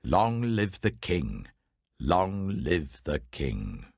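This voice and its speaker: A man voicing a cunning, persuasive courtier in a scripture audiobook, speaking with measured, emphatic rhetoric. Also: sits low, very animated, creaky, husky, no room sound, clean studio sound, full character voice. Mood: acclaiming. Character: smooth-talking courtier, cunning and persuasive loyalist spy.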